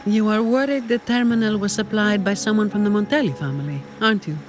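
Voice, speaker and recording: An actress voicing a cool, weary, dutiful young security operative in a video game, composed and dryly unimpressed. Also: female voice, mid-range voice, quick pace, a little hiss or hum, lightly acted. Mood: calm, probing. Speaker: employee of a powerful family leading an operation. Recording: studio voice under game music.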